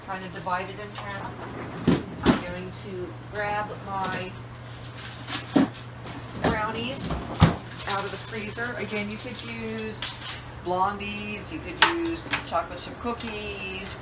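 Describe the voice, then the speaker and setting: A woman's voice: mid-range voice, fairly even intonation, slow pace, long pauses, heavy background noise. A middle-aged woman giving plain step-by-step cooking instructions in her home kitchen, with some fillers, picked up by a camera mic a few feet away. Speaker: cooking channel host.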